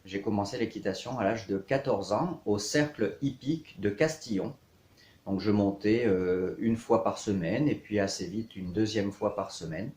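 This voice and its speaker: A man in his thirties from south-east France, a riding coach, introducing himself in measured, hesitant short phrases for an online course. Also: fairly low pitch, darkish tone. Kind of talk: scripted-outline self-introduction to camera for an online course. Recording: echoey, reverberant room.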